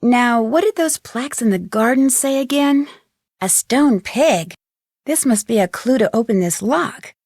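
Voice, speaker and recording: A woman's voice: on the high side, a little mellow, no echo, studio quality. An actress playing a curious, thoughtful young woman detective thinking aloud in a game.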